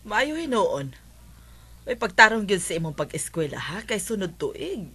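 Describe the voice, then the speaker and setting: A husky, bright-edged mother's voice with a Cebuano Filipino accent. She is caring and naggy in radio drama style, swinging widely in pitch. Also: unhurried, full character voice. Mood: concerned, nagging. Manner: motherly, expressive. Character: caring, nagging middle-aged mother of schoolgirl.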